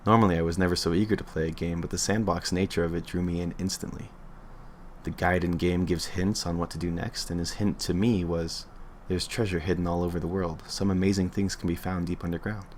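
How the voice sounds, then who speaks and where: A man's voice: deep voice, level tone, a little fast, slight background noise. A man reading a creepy internet story aloud on a livestream in an offhand way, stumbling and correcting himself as he goes.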